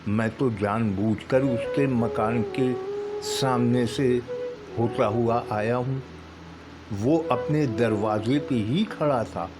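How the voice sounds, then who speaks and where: A man's voice: medium pitch, takes it slowly, lightly acted. A man voicing a proud, boastful, gloating husband in a short story reading. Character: proud, boastful husband showing off his costly goat. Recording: music bed under the voice.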